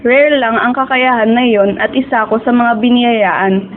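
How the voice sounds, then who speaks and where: A young woman acting as a dreamy, self-doubting high school girl who narrates her own story in a radio drama, mixing in English. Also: steady tone, moderate pace, natural voice. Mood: reflective. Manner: code-switches into English. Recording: tinny, phone-like audio with hiss.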